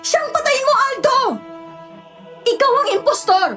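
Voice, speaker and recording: A woman's voice: very high-pitched, lively intonation, a little slow, over music. A woman playing fierce village woman. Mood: desperate pleading.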